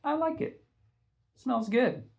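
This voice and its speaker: A man's voice: extremely high pitch, lively intonation, slow, creaky, pitched above the performer's own voice, heavily acted. A man voicing a sassy, blunt, teasing teenage girl in an audiobook reading.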